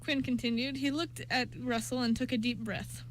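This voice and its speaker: A woman narrates an amateur radio play from a script. Her voice is fairly high, with the odd stumble in the reading, and a low hum and light noise sit behind her. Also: fairly even intonation, moderate pace, low-quality recording.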